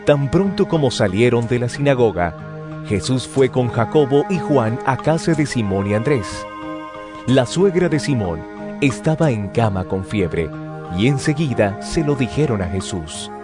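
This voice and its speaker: Casting: male narrator for dramatized scripture, solemn but expressive in intonation, music bed behind. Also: medium pitch, a little slow.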